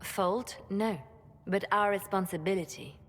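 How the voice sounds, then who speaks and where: An actress playing a cool, composed spymaster in a fantasy game, matter-of-fact and controlled. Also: female voice, medium pitch, a little slow, lightly acted. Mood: grave, controlled. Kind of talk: dramatic fantasy video game acting. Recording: long hall reverb.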